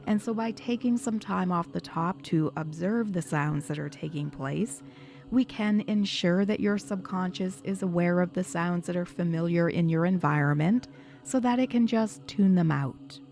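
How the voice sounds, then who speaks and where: Woman doing guided hypnosis meditation, kinda low voice, calm soothing instructions, faint music behind. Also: normal speed. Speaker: hypnotherapist guiding a session. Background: background music.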